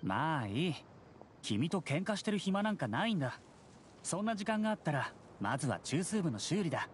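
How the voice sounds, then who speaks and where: An actor playing a fussy, articulate, condescending young engineer in an anime game. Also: male voice, a little high, big pitch swings, normal speed, a little hiss or hum. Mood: sarcastic, irritated. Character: fussy, sarcastic young bespectacled engineer brother.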